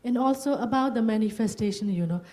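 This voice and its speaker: Soft-toned woman sharing her story. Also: a little high. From Burma. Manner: halting, many fillers and "you know". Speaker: retreat participant. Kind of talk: personal testimony.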